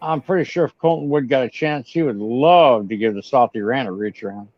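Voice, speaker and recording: A man's voice: medium pitch, a little fast, no echo. Teasing man on dull lo-fi audio. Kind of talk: casual livestream chat.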